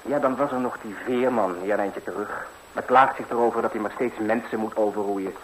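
An actor playing a cheerful, fearless young lad who tells things breezily in a 1960s radio fairy tale. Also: male voice, on the low side, fairly even intonation, fairly quick, a bit bright, close to an everyday voice. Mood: animated recounting. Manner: breezy, colloquial, eager storytelling. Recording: slightly noisy old 1960s radio recording.

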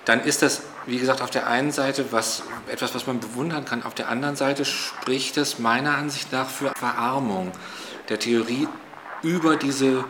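A man's voice: mid-range voice, normal speed. A man answering in an interview with careful, academic phrasing, hesitating with fillers. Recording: noisy interview recording.

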